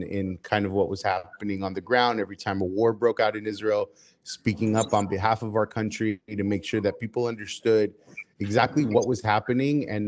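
A man who grew up in the United States speaking Hebrew first, answering interview questions at length in a measured, steady way with frequent "uh" fillers. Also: on the low side. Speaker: interview guest.

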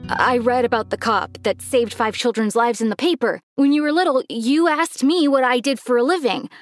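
Woman voicing a halting, apologetic, evasive father. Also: on the high side, lightly acted. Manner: halting, stammering, pleading. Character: apologetic, evasive middle-aged father confessing his lies. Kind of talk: dramatized storytelling dialogue.

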